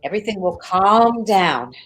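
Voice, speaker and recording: An actress playing a soothing mother who gently calms her daughter. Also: mid-range voice, moderate pace.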